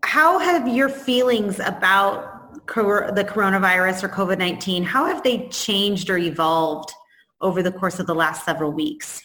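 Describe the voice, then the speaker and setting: A woman's voice: medium pitch, lively intonation, moderate pace, a bit bright. A woman co-hosting a podcast, asking interview questions and encouraging her guest with frequent mm-hmms.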